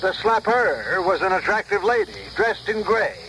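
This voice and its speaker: Radio drama gossip columnist, male, arch and wordy, very high-pitched, faint noise on old broadcast. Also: animated, moderate pace, bright, forceful tone, poor audio. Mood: arch, gossipy. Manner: arch, wordplay-loving.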